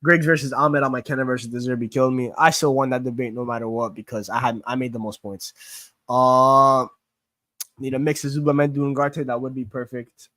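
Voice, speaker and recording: A man's voice: mid-range voice, fairly even intonation, a little fast, fairly bright tone, no room sound. Young man with a Queens accent. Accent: Queens New York. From born in Greece, raised in New York. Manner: chatty, rambling, many fillers and restarts. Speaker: football streamer answering chat. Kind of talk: casual livestream Q&A.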